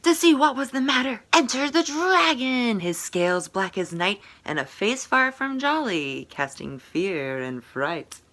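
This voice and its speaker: A woman's voice: fairly high pitch, big pitch swings, a little slow, hardly any pauses, a bit bright. A woman author presenting and reading a storybook aloud in a theatrical, rhythmic way. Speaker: author promoting and reading own book. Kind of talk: storybook read-aloud and promotional talk.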